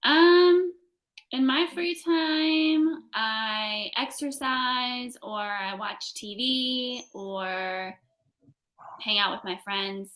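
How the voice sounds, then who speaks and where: A woman's voice: a little high, fairly even intonation, very slow, a bit bright. A young American woman tutoring English in a casual one-on-one conversation, phrasing things simply for a learner. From United States.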